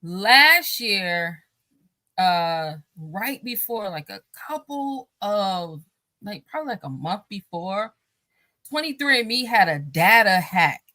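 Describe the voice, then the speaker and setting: A woman's voice: mid-range voice, sing-song, takes it slowly, pushed and bright, dry recording. A married woman, a guest on a talk show, speaking casually in a rambling way with many fillers and restarts, and often laughing as she talks. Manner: laughs often while talking.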